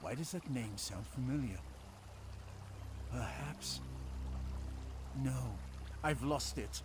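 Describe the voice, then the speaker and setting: An actor playing a dazed, proud male adventurer in a fantasy game, halting and disoriented as he speaks. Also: mid-range voice, background noise, lightly acted. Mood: dazed, recovering. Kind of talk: scripted fantasy game dialogue.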